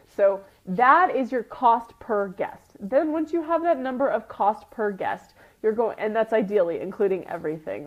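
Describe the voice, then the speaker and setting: Sniffly woman coaching, dull lo-fi audio. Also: mid-range voice. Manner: conversational teacher, often checking in with "right?" and "okay?". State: sniffly with a runny nose. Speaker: retreat business coach and group host. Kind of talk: informal live coaching talk.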